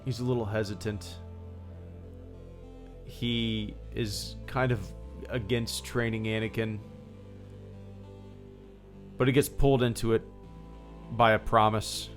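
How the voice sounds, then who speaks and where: Low-voiced man chatting on a livestream. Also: steady tone, slow, long pauses, faint music behind. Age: 30s. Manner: rambling, full of fillers and restarts; reads out viewer thank-yous. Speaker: livestream host. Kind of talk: casual livestream chat.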